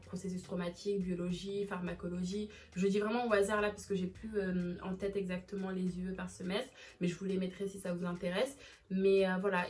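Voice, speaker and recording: A young woman from Marseille with a Marseille accent, telling a casual storytime vlog in a chatty, slangy way. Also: medium pitch. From Marseille, southern France. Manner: chatty and expressive, slangy, with many fillers. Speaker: vlogger.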